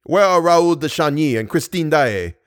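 A man playing a stern man who forcefully accuses and questions a murderer, his voice raised above the narration. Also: on the high side, expressive, moderate pace, no room sound, clean studio sound, natural voice. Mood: accusing.

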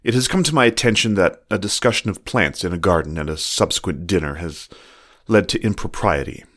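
A man's voice: low-pitched, animated, a little fast, a bit bright. A man voicing a lovesick young male fox, reading his formal, tender letters aloud. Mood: stiff, formal.

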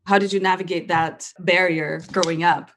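A woman's voice: lowish voice, fairly even intonation, normal speed, fairly bright tone, a little hiss or hum. A woman hosting an interview podcast, introducing the episode and asking curious, upbeat follow-up questions. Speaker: podcast host. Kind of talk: conversational interview.

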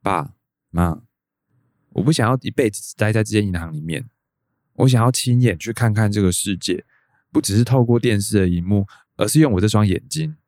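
A man's voice: on the low side, fairly quick, a little mellow, no echo, clean studio sound, natural voice. An actor with a Taiwanese Mandarin accent playing an earnest, determined man in his thirties in a radio drama. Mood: pleading, determined. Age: 30s. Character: earnest, determined thirty-two-year-old son chasing a dream. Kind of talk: radio drama monologue and dialogue.

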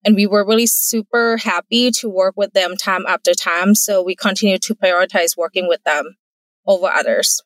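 A woman's voice: mid-range voice, fairly even intonation, normal speed, a bit bright, no room sound, poor audio. Earnest Thai-accented woman entrepreneur. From Thailand. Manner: earnest, careful, laughs easily. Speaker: entrepreneur, guest. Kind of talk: casual interview answers.

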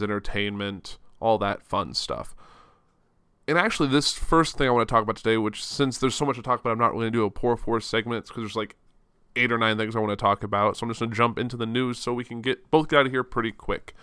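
Stuffed-up man with a bright, crisp voice. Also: mid-range voice, no room sound, studio quality. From Chicago suburbs, Illinois. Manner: casual, rambling, self-correcting. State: has a cold, stuffed-up sinuses. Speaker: podcast host. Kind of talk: solo news-commentary podcast.

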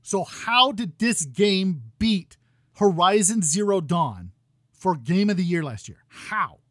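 A man in his thirties hosting a gaming podcast, ranting loudly with exasperation while losing his voice. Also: sits high, lively intonation, takes it slowly, no room sound, studio quality. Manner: loud exasperated ranting, swings to quiet muttering. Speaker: podcast host.

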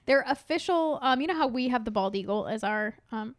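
High, smooth-voiced chatty woman. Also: lively intonation, brisk, dry recording, studio quality. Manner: chatty, giggly, rambling with restarts. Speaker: podcast co-host. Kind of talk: casual chat, reading a listener letter.

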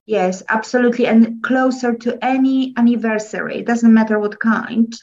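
Polish-accented woman, halting and earnest. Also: on the high side, steady tone, darkish tone, muffled recording. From Poland. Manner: halting, searching for words. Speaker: podcast guest. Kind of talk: interview conversation.

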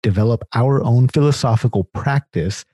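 A man's voice: medium pitch, moderate pace, dark tone, breathy edge, no echo, clean studio sound. A man hosting an interview podcast, talking in an enthusiastic, casual way with frequent restarts. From Canada. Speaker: podcast host.

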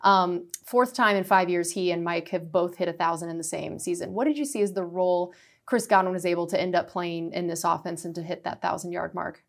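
Young female sports reporter, slightly low voice, long rambling questions. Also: fairly even intonation, brisk, gravelly. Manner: long wordy questions with fillers. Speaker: team reporter and show host. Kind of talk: radio interview questions.

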